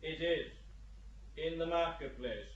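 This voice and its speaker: A grim male executioner in an audio drama. He speaks slowly and tersely in a matter-of-fact way, with a noticeable echo and slightly dull sound. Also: a little high. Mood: grim, flat. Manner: terse, grave. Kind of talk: audio drama acting of a stage play. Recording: dull audio in echoey room.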